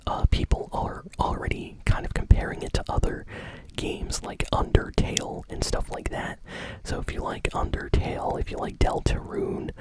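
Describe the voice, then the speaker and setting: A man's voice: very high-pitched, rough recording. Man whispering casual gaming news. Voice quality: soft unvoiced whisper. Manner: casual, filler-heavy, relaxed chatty delivery. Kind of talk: whispered ASMR news roundup.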